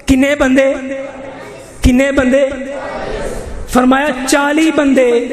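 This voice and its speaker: A man's voice: very high-pitched, flat delivery. A man with a Pakistani Punjabi accent preaching an impassioned Islamic sermon, declamatory and full of repeated rhetorical refrains. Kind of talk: impassioned religious sermon to a congregation. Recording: echoey hall with long reverb, crowd.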